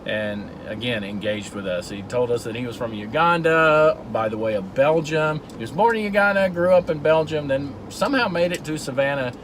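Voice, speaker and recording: A man's voice: medium pitch, moderate pace, hardly any pauses, a bit bright, a little hiss or hum. Man talking while driving. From Georgia, USA. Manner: earnest, storytelling, with repeated restarts. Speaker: vlog host. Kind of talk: casual talk while driving. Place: in a car.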